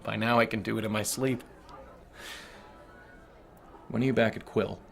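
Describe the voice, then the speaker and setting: An actor playing a crude, domineering corporate boss in an audio drama, mocking and menacing in manner. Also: male voice, fairly low pitch, steady tone, normal speed, gravelly, a bit bright, soft background music. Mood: menacing, contemptuous.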